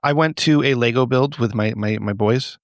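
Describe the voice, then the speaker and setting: A man's voice: mid-range voice, normal speed, no echo. Male podcast co-host, middle-aged, crude and conversational, recorded close and dry. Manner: crude, profane. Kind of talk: casual comedic banter.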